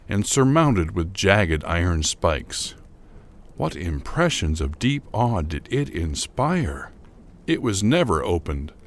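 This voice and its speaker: A man giving a formal, theatrical and emphatic narration of a gothic horror story, in the scripted style of an audio drama. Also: low-pitched.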